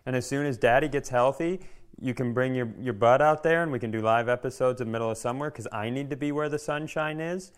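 A man's voice: mid-range voice, quick pace, smooth. An American man co-hosting a comedy podcast with casual, sarcastic and profane riffs. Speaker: podcast co-host. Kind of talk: casual comic banter.

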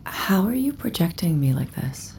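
A woman's voice: lowish voice, sing-song, moderate pace, vocal fry, darkish tone, slight background noise. A woman playing a wary, defiant hired escort in an audio drama, frank and wry. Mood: curious, coy.

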